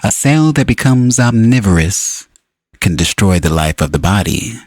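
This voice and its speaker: A man narrating a book as an audiobook reader, in deliberate, solemn phrases broken by frequent pauses. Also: fairly low pitch, expressive, darkish tone, no room sound, clean studio sound.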